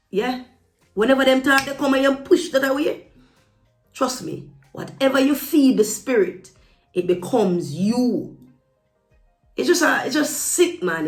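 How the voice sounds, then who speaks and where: A woman with a Jamaican accent giving a casual opinion monologue to viewers, emphatic and repeating phrases to drive them home. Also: a little high. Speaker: vlogger. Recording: echoey room with music behind. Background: background music.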